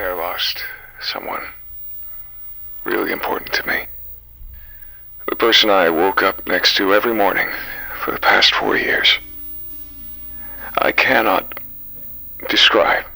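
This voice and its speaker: A man acting as a recently widowed reporter in an audio drama, with a rough, creaky voice and a forceful edge. He is distrustful and emotional, and his words come haltingly over background music. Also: fairly low pitch, exaggerated voice.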